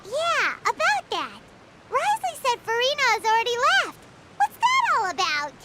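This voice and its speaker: A woman voicing a tiny, childlike fairy companion in a video game, with a squeaky voice and a chirpy, inquisitive, blunt manner. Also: wildly swooping pitch, moderate pace, slight background noise, exaggerated voice. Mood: concerned, inquisitive. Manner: refers to self in third person, exclaiming, inquisitive. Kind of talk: video game character dialogue. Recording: game dialogue over loud music.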